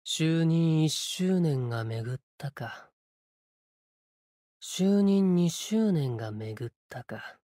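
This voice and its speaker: A man voicing a solemn, cryptic sword spirit warrior in a Japanese game, with measured, portentous, archaic phrasing and a breathy voice. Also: a little high, animated, warm tone, no echo, clean studio sound. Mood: calm, measured. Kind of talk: Japanese game character voice lines.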